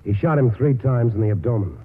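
An earnest small-town doctor speaks in a serious, formal way. The voice is husky and mid-pitched, coming through a narrow, muffled old radio broadcast. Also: male voice, animated, a little fast. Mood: alarmed, grave. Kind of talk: 1940s radio drama acting.

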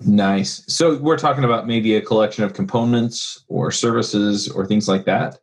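A man's voice: lowish voice, normal speed, breathy edge. A man hosting a tech podcast, chatty and friendly, who laughs easily. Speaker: podcast host.

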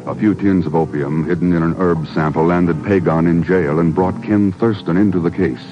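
A man's voice: sits low, steady tone, moderate pace, over loud music. A man with an American accent, a polished, emphatic 1950s radio announcer reading scripted copy. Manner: emphatic, polished sales delivery. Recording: muffled 1950s radio broadcast.

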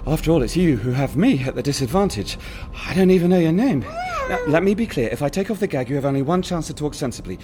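Radio-play villain: male actor as a courteous, menacing killer, animated delivery, noisy rumbling track. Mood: coldly polite. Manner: cold, polite and deliberate. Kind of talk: audio drama acting. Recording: noisy recording with a low rumble.

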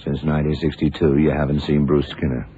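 An actor playing a gruff middle-aged FBI investigator in a radio drama, questioning in a dry, deliberate, probing way. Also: male voice, extremely low pitch, level tone, warm tone. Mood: probing, suspicious. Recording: muffled, noisy 1960s radio drama recording.

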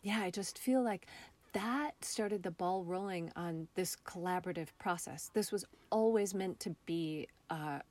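A woman being interviewed as a theatre artist, speaking in a reflective, expressive, conversational storytelling way. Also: lowish voice, a little slow.

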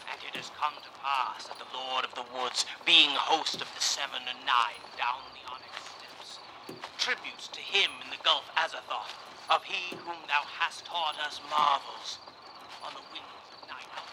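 A man's voice: medium pitch, steady tone, normal speed, background noise, lightly acted. A man playing a fervent cultist, chanting an incantation theatrically on an old cylinder field recording. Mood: fervent, reverent. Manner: incantatory, theatrical, swelling from hushed to loud. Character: fervent male human cultist chanting a dark ritual.

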